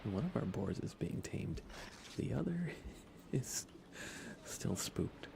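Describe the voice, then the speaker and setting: A man streaming ASMR in a soft, often whispery voice, chatting in an unhurried, low-key way with pauses. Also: gravelly, noisy background. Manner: hushed, unhurried, relaxed delivery with pauses. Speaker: ASMR livestreamer.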